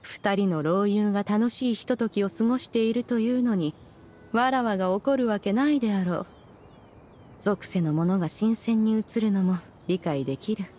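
A woman's voice: mid-range voice, normal speed, mellow, a little hiss or hum, natural voice. A woman voicing a proud, ancient immortal in a game, imperious, with archaic regal phrasing. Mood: haughty, indulgent. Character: proud, ancient immortal adeptus woman, haughty but fond. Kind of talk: dramatic game voice acting.

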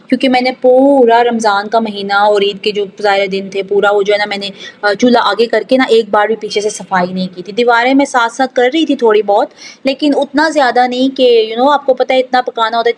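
A woman with a slightly high voice narrates a home vlog. She talks casually and conversationally, as if chatting to viewers. Her talk rambles and leans on repeated filler phrases. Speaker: home vlogger.

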